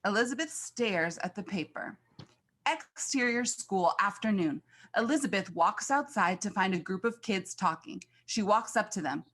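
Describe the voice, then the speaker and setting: A woman's voice: mid-range voice, expressive, normal speed, a bit bright. A woman reading scripted stage directions as the narrator of a screenplay.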